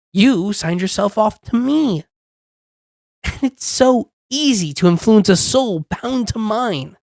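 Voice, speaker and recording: Smug demon contract-dealer, acted by an amateur performer, mid-pitched, dry intimate ASMR delivery, teasing and in control. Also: no echo. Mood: smug, menacing. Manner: teasing, coaxing, unhurried with lingering pauses. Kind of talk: ASMR roleplay, intimate scripted monologue.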